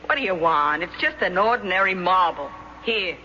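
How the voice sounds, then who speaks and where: A woman's voice: lowish voice, animated, moderate pace, bright, forceful tone. A woman playing a blunt, wisecracking working-class landlady in a radio drama, colloquial and wry. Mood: dismissive, amused. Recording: slightly noisy, dull old radio broadcast.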